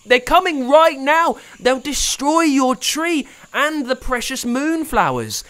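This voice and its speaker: A man's voice: high voice, big pitch swings, moderate pace, a bit bright, no echo, voice pushed up. A man voicing an eager young boy hero who exclaims urgently.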